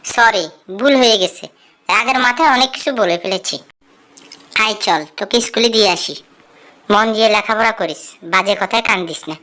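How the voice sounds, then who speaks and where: Someone voicing a bright-toned, stern cartoon mouse uncle. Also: medium pitch, brisk, natural voice, low-quality recording. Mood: conciliatory. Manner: admonishing, instructive. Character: stern, sensible elder cartoon mouse uncle, scolding and advising. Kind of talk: cartoon dub.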